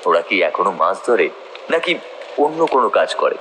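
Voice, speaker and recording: Low-voiced man narrating a ghost story. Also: very animated, normal speed. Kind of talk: scripted first-person ghost story narration. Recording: noisy nature ambience behind voice.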